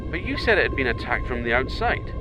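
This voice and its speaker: A man's voice: wildly swooping pitch, fast. A man playing a mission controller calling urgently over the radio to a crew member below. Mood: questioning. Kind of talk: audio drama acting. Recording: muffled sound with loud music and engine rumble.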